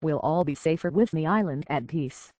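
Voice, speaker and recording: A woman's voice: low voice, moderate pace, a little mellow, no room sound. An actress playing an earnest, conflicted young woman in a video game, hesitant, sincere and emotionally vulnerable. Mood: somber, regretful.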